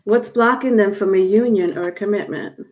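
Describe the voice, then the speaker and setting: A woman's voice: medium pitch, moderate pace, a little mellow. A woman with a stuffed-up nose giving a live tarot reading on camera, rambling with many fillers and restarts. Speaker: tarot reader. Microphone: webcam or phone on camera.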